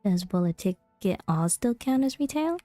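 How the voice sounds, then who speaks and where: A woman streaming a game, chatting casually and reading dialogue aloud, with a creaky voice. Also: mid-range voice, expressive, moderate pace, warm tone, no room sound, faint music behind.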